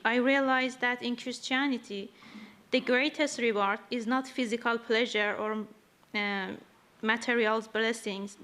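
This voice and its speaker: Earnest woman reading slowly at church. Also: fairly high pitch, fairly bright tone. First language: not English. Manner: slow, earnest, careful reading. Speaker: church member giving a testimony. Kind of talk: reading a prepared testimony.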